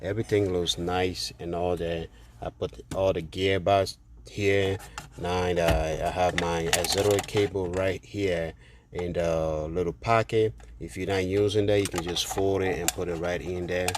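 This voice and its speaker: A man's voice: low voice, fairly even intonation, unhurried, noisy background. A man chatting casually through a hands-on car tutorial, informal and repeating phrases for emphasis, speaking inside a car. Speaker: car DIY video host.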